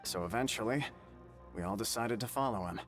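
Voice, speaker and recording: A man's voice: fairly low pitch, fairly quick, close to an everyday voice. An actor playing a tough, proud, streetwise prison gang man in a video game, speaking firmly. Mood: admiring. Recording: game music under dialogue.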